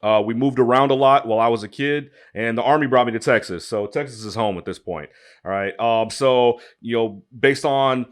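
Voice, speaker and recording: A man's voice: medium pitch, moderate pace. A man from Detroit co-hosting a casual talk show, rambling with many restarts and fillers. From Detroit, USA. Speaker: podcast co-host. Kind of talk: casual chat.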